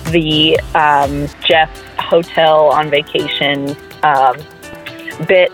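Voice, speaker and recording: Hesitant listener leaving a voicemail. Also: female voice, sits low, poor audio. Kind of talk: casual voicemail story.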